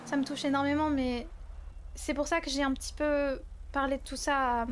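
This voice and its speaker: A woman's voice: high voice, fairly even intonation, normal speed, lightly acted. A woman improvising as a candid, lovelorn young woman in a roleplay game, apologetic and often searching for words. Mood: sincere, pained. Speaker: roleplayer in an online game server. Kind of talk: improvised in-character conversation.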